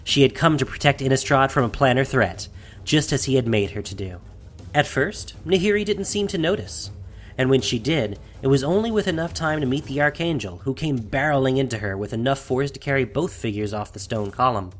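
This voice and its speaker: A man's voice: medium pitch, animated, a little fast. A man reading scripted fantasy fiction as the narrator of an audio drama, in a dramatic storytelling style. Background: faint music.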